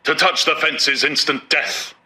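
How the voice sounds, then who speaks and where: A male narrator playing a pompous older official who booms out facts and unasked-for advice. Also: steady tone, moderate pace, sharp tone. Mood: lecturing. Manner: booming, lecturing, self-satisfied.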